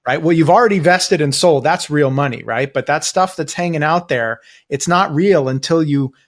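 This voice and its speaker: A man's voice: a little high, moderate pace. A man hosting a podcast interview, speaking in an emphatic conversational way as he presses his point. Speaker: podcast host.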